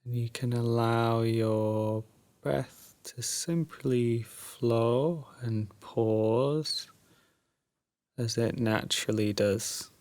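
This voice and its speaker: A man guiding a sleep meditation in a soft, drowsy, soothing voice with long pauses, at times breathy and close to a whisper. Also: medium pitch, fairly even intonation, dry recording. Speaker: meditation guide. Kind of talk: guided sleep meditation, Yoga Nidra.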